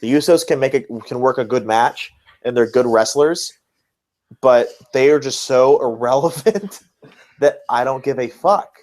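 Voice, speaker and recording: A man's voice: medium pitch, unhurried, darkish tone. Blunt male podcast co-host, repetitive for emphasis. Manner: repeats phrases for emphasis, blunt and critical. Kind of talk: casual wrestling commentary chat.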